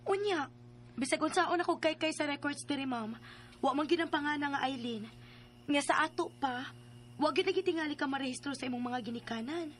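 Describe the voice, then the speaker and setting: A woman's voice: sits high, fairly quick, a little hiss or hum, natural voice. A woman playing an outspoken, matter-of-fact female figure in a radio drama.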